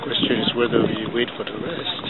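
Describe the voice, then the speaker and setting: A man's voice: mid-range voice, fairly quick. A man casually narrating a kayak club outing from his boat, naming paddlers as they pass. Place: outdoors on a kayak on a windy estuary. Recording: tinny lo-fi camera audio with loud wind and water noise.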